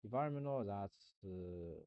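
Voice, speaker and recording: A man streaming a rambling philosophical monologue, halting and searching for words, often restarting and repeating himself. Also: on the low side, animated, phone-quality sound.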